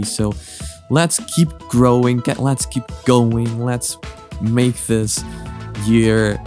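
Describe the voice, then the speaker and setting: A man with a fairly low voice hosts a casual reaction vlog. He talks in a hesitant, rambling way, circling back to correct himself, with music playing faintly behind him. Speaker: host of a reaction channel. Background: background music.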